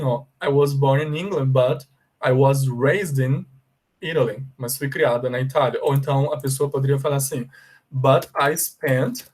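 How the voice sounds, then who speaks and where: A man with a Brazilian Portuguese accent, an English teacher explaining a lesson slowly and checking in often with his students. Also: mid-range voice, poor audio. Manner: slow, deliberate explaining with frequent check-ins. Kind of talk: bilingual language lesson.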